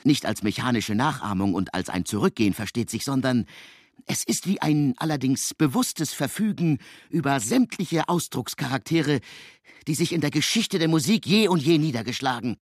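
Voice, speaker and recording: An actor playing an intense, cerebral male composer who expounds musical theory emphatically and haltingly in a literary radio drama. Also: mid-range voice, expressive, no echo, studio quality, close to an everyday voice. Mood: expository.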